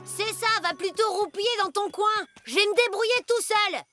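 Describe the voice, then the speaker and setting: A woman's voice: extremely high pitch, normal speed, a bit bright, faint music behind. A woman voicing an eager, sensitive, stubborn young jungle boy in a cartoon dub. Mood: frustrated. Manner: pleading, earnest.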